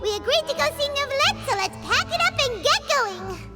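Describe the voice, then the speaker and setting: A woman voicing a tiny, chatty, excitable childlike fairy companion in a video game, exclaiming and referring to herself in the third person. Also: extremely high pitch, brisk, heavily acted. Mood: sleepy. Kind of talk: animated game dialogue acting. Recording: background music over studio game voice.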